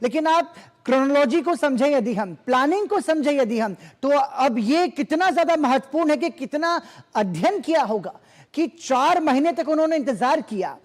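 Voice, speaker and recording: High-pitched male news anchor in reverberant sound. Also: lively intonation, moderate pace. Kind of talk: live news interview.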